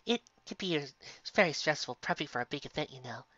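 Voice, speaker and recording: A sweet, doting mare who keeps a sweets shop, as a woman puts her on, her bright voice swooping up high and down soft. She calls everyone dear in a kind, motherly storybook manner. The recording sounds slightly muffled and dry. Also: expressive, quick pace, dry recording. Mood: soothing. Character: gentle motherly mare candy-shop owner.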